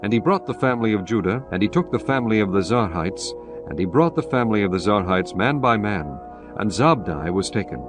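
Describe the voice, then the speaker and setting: A man narrating scripture in a measured, solemn, formal way. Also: lowish voice, expressive, over music.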